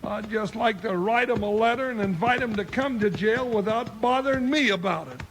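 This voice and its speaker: High-pitched folksy cowboy sidekick, played by a man. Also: normal speed, natural voice. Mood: griping relief. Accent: American Western. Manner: folksy, whooping, comic griping. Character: big, folksy, griping comic cowboy deputy. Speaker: comic sidekick actor. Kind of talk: 1950s radio western acting. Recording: very noisy vintage radio broadcast.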